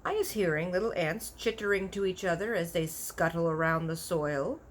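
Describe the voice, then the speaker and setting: A woman's voice: fairly low pitch, moderate pace, a little hiss or hum, lightly acted. A woman voicing a kindly, big-eared storybook giant who is earnest, proud and easily offended, talking in folksy broken grammar with invented words. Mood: wonder, earnest. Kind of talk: animated children's storybook character voice.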